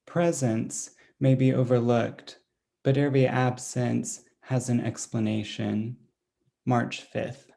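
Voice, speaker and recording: Slow, gravelly man reading poetry. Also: mid-range voice. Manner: deliberate, dated entries read flatly. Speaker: poet reading aloud.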